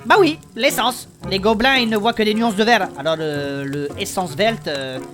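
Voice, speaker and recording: A woman's voice: medium pitch, normal speed, lightly acted. A woman voicing a chatty, defensive young tavern worker in a fantasy comedy audio drama, familiar and slangy. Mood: chatty, recounting. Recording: faint music behind the voice.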